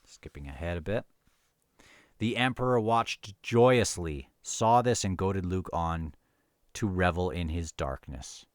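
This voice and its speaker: A man casually talking about and reading from a novel, earnest and somewhat halting as he thinks aloud. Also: deep voice, animated, no echo, studio quality. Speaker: book reviewer and reader. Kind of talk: casual book discussion mixed with read-aloud novel passages.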